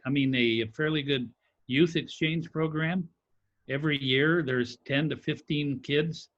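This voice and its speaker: A man's voice: mid-range voice, a bit bright, dull audio. A former district governor who grew up in Mexico with Spanish as his first language, answering on a video call with many fillers and a cracking voice. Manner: halting, many fillers and restarts.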